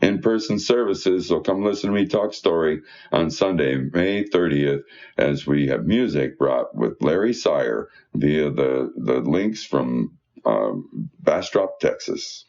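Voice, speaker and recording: A man's voice: a bass voice, animated, slow, gravelly, darkish tone, muffled recording. A man reading church newsletter announcements aloud in a folksy, conversational way with informal asides.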